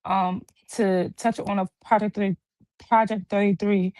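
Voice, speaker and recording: A woman in her thirties talks in an earnest, reflective way, taking her time over her own story. Her pitch sits at an ordinary middle height for a woman. The sound is dry and close, with no room around it. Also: flat delivery, unhurried, a little mellow, no echo, low-quality recording. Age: 30s. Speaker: guest. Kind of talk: casual chat. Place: hospital. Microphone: video call.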